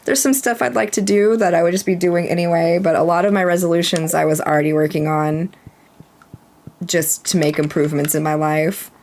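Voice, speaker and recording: A woman in her thirties from Texas chatting casually and relaxed while streaming a game, reading chat aloud. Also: on the low side, a little fast.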